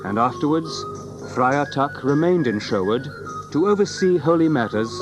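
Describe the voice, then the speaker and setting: A man with a mid-pitched voice narrates in scripted storybook style. His phrasing is old-fashioned and literary, and music plays clearly behind him. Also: lively intonation, normal speed. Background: music under the narration.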